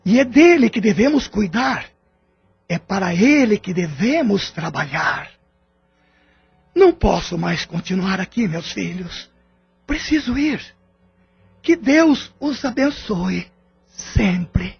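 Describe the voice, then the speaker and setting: Man acting slow, high-voiced Brazilian father's spirit. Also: very animated, vocal fry, slightly rough, full character voice. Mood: tender, solemn. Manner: measured, formal, preaching. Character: spirit of a deceased father, serene, solemn, loving. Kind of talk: audio drama acting. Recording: dull lo-fi audio with music underneath. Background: music.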